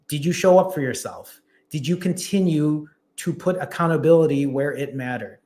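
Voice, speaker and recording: A fairly high-voiced young man chats casually into a desk mic, coaching his livestream viewers. He reads their comments aloud and answers them directly. His tone stays plainly encouraging. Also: a little mellow. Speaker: fasting coach and livestream host.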